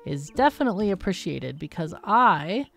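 Woman, slightly low voice, casual gaming host, explanatory, thinking aloud, music behind. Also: sing-song, moderate pace, creaky. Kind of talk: casual let's-play commentary.

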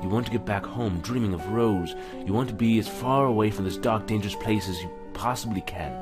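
A man's voice: fairly low pitch, a little fast, over music. A man hosting a gaming video in a casual, chatty, self-deprecating way, reading text aloud with stumbles. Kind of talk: casual let's-play commentary with reading aloud.

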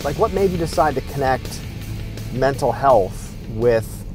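Lively, joking man driving a car. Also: medium pitch, unhurried, over music. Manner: joking, playful questions. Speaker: host. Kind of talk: comic interview. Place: inside a moving car, driving.

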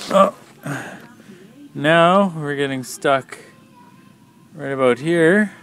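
A man talking casually off the cuff as an amateur mechanic, in a plain-spoken, halting, matter-of-fact way while filming with a handheld camera. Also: mid-range voice, fairly bright tone, slight background noise. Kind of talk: casual off-the-cuff how-to narration. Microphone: handheld camera, speaker behind it.

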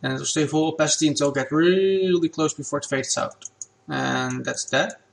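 A man whose first language is Dutch, talking through a software tutorial at his computer in a relaxed, plain step-by-step way. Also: on the high side, normal speed, creaky. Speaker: game asset creator.

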